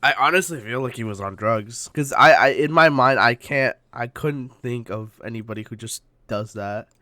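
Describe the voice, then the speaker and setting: A man's voice: mid-range voice, moderate pace, bright, forceful tone, no room sound, clean studio sound. A man on a podcast chatting casually and informally, with some swearing. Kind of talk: casual chat.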